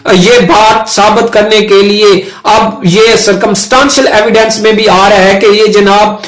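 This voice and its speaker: High-pitched Pakistani man explaining informally. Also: fairly even intonation, a little slow, fairly bright tone. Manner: conversational, repeatedly checking in with a filler tag. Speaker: law lecturer and video host. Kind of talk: informal explanatory lecture with worked examples. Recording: roomy echo with background music.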